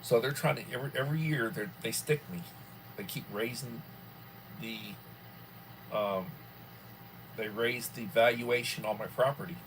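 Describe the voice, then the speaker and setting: A man in his early sixties hosting a casual livestream from home, rambling and filling pauses often. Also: mid-range voice, a bit bright, a little hiss or hum, low-quality recording. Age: 60s. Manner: rambling, with many fillers. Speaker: livestream host.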